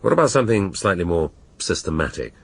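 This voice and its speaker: An English actor playing a middle-aged police inspector in a radio drama, shrewd and dry, speaking deliberately with authority. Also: male voice, sits low, big pitch swings, vocal fry, breathy edge, full character voice. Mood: probing, formal. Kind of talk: naturalistic radio drama acting.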